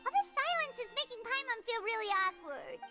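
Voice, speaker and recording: A woman's voice: extremely high pitch, very animated, fairly quick, pushed and bright, heavily acted. A woman playing a tiny, chatty, curious childlike fairy companion in a video game. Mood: excitable, whiny. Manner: exclaiming, refers to self in third person. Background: game music.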